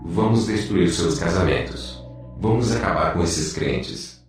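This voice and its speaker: A man playing a sinister, scheming demon in a dramatized religious testimony, speaking in a menacing, deliberate way. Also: deep voice, lively intonation. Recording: distant, heavily echoing, music behind.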